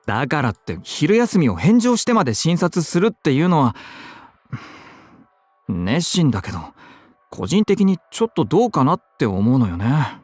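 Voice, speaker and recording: A man playing an effeminate, chatty, fussy and excitable young man in a Japanese visual novel, with feminine, expressive speech. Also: a little high, fast, dry recording, soft background music. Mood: concerned, chatty.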